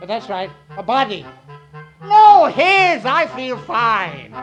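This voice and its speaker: A high-pitched, excitable drifter in an old-time radio play. He stammers in a folksy, simple-hearted way, and his voice swings up and down through the vintage broadcast. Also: moderate pace, faint music behind. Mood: flustered, apologetic. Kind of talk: 1940s radio drama acting.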